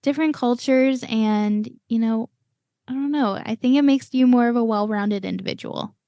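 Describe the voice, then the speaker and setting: A woman's voice: fairly high pitch, normal speed, dark tone, smooth, dry recording, clean studio sound. A woman hosting a podcast interview, talking in a chatty, rambling, upbeat way with lots of fillers. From United States. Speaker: podcast host. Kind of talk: casual interview chat.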